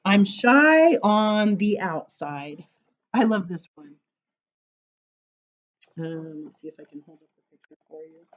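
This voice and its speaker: A woman reading poems aloud to children as a storytime librarian, with animated, sing-song emphasis and casual asides. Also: mid-range voice, slow pace, long pauses, highs cut off, a little hiss or hum.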